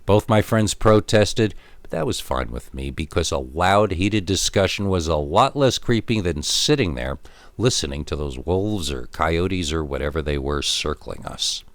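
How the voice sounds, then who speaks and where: A man narrating a scripted first-person scary story in an expressive, emphatic storytelling manner, drawing out key words. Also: low-pitched, a little slow, no room sound.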